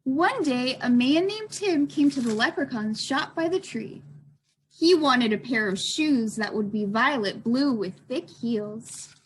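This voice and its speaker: A woman's voice: high-pitched, expressive, a little slow, a little hiss or hum, low-quality recording. A woman librarian reading aloud for a children's storytime, expressively addressing young listeners.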